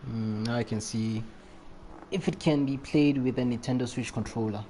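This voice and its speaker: A man's voice: medium pitch, moderate pace, dark tone. Casual man live streaming, faint noise. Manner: slangy, repeats phrases. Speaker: video game live streamer. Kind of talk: casual live chat while playing.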